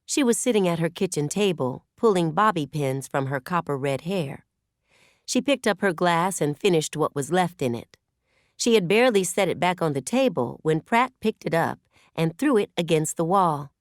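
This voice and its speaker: A woman reading a novel aloud as an audiobook narrator, with expressive storytelling delivery. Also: fairly low pitch, normal speed, no room sound, clean studio sound. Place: dry recording studio.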